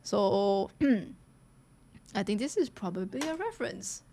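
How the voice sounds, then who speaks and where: A woman's voice: mid-range voice, big pitch swings, slow pace, creaky, warm tone, a little hiss or hum. A woman giving casual, gushing reaction commentary as a streamer, chatting to viewers with lots of slang. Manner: gushing, slangy, addresses viewers as guys and bro. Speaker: streamer reacting to a game trailer.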